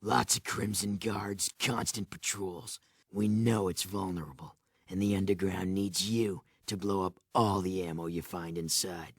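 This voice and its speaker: Game rebel commander: very low, rough, hardened and scornful, barking terse orders, close dry recording. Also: male voice, fairly even intonation, a little slow, no room sound, exaggerated voice. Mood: commanding. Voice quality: low, gravelly. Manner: curt, commanding, disdainful. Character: gruff, hardened, scornful underground resistance commander, ex-guard. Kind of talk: video game cutscene acting.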